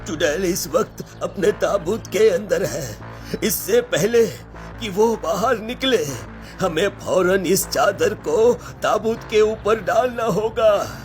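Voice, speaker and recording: Old village man for a cartoon male actor, resolute, halting, very slow delivery over music. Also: sits high. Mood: urgent, commanding. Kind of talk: cartoon story dialogue.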